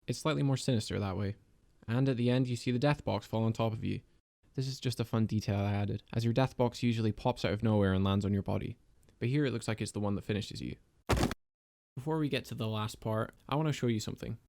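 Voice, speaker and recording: A man giving a scripted voice-over about his own 3D animation project, in a measured, explanatory and lightly self-deprecating manner. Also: lowish voice, creaky, a little mellow, a little hiss or hum. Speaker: video creator and 3D animator.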